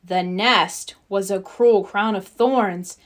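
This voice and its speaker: A woman's voice: medium pitch, steady tone, slow. A woman narrating a scripted children's story as a read-aloud.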